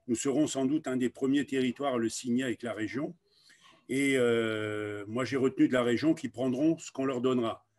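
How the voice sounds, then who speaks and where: Halting, deliberate Frenchman presenting. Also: mid-range voice, a little mellow. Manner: halting, deliberate, with frequent hesitant pauses. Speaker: local elected official. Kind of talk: informal presentation in an online meeting.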